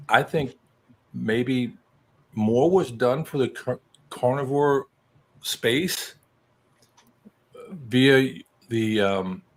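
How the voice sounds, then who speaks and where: A man in his early sixties, originally from New Mexico, speaks as a guest on a casual live chat. His manner is folksy and self-deprecating, with a mid-pitched voice. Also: fairly bright tone. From New Mexico, United States. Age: early 60s.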